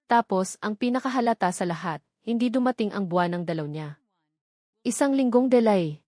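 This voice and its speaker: A woman with a Filipino accent narrating a scripted dramatic story as an audiobook, in a deliberate, emphatic way with short dramatic phrases. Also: medium pitch, animated, dark tone, no echo, clean studio sound.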